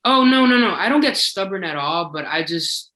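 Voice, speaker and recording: A man's voice: high-pitched, animated, moderate pace, bright, forceful tone. A young man, a singing contestant, answering interview questions in an eager, chatty way with lots of filler words. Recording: echoey room.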